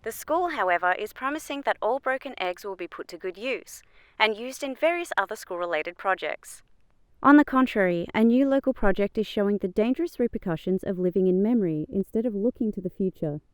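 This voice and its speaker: A woman's voice: mid-range voice, animated, a little fast, clean tone, dry recording, natural voice. A woman playing a smiling, composed local television newscaster in an audio drama, reading in a formal, presentational manner. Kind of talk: scripted local news read in audio drama.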